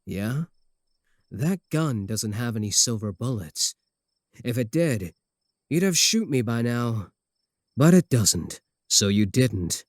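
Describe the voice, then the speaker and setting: A man's voice: mid-range voice, sing-song, takes it slowly, pauses often, no room sound. A man playing a cocky, obsessive, easily offended werewolf in love, boasting earnestly and throwing dry sarcastic jabs in a comedic ASMR roleplay. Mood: dry bickering.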